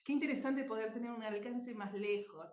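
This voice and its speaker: A woman's voice: fairly high pitch, brisk, a little mellow. An Argentine woman giving an informal, effusive, anecdotal talk as a presenter. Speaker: radio drama writer and speaker at a book fair. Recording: dull, echoey lo-fi room sound.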